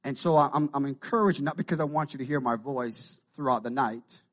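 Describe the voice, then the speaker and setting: A man's voice: medium pitch, brisk. A man with a Jamaican Canadian accent preaching a sermon to his congregation, often repeating and building his phrases. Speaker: pastor.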